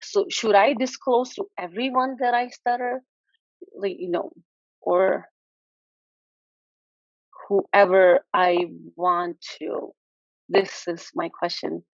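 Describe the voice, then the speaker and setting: A young woman speaks slowly and candidly in a muffled, lo-fi online call. She stutters, catching and repeating sounds as she works through her question. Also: mid-range voice, steady tone, no echo. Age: young adult. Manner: halting, candid. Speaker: pharmacy student asking a panel a question. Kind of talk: earnest question in a group discussion. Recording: dull lo-fi online call audio.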